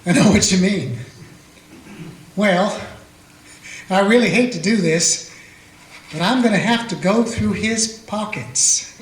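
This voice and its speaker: A man playing a steady small-town Old West lawman in a radio play, telling the story plainly in the first person. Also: high voice, takes it slowly, pauses often. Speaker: narrator and lawman character. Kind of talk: amateur radio play acting. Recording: echoey room with guitar music.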